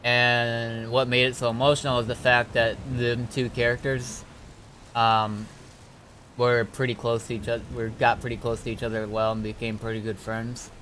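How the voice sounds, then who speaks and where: A man's voice: mid-range voice, flat delivery, moderate pace, fairly bright tone. Laid-back man streaming over noisy ambience. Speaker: game streamer. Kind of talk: casual livestream chat, recapping roleplay events.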